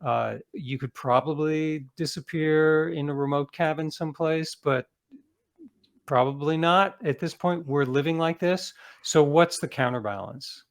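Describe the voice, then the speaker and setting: A man's voice: a little high, measured pace, gravelly. A man speaking as a guest author in a conversational interview, explaining things reflectively with examples.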